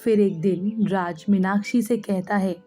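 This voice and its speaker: A woman's voice: mid-range voice, steady tone, unhurried, dark tone, soft background music. A woman reading scripted narration as the storyteller of a moral tale.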